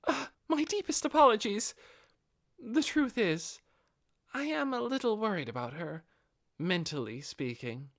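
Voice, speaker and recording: A man's voice: sits high, expressive, measured pace, pitched above the performer's own voice. A man voicing a devoted, earnest female guardian captain in an audiobook, with polite, deferential phrasing. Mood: apologetic, worried. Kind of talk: audiobook character acting.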